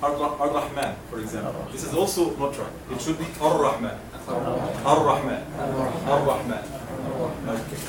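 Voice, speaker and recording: Man teaching from across an echoey classroom. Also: medium pitch. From Egypt. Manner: patient, repeats sounds slowly for students to echo. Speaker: Quran recitation teacher. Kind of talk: classroom lesson with call-and-response drills. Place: lecture classroom. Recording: roomy classroom, mic far off, noisy.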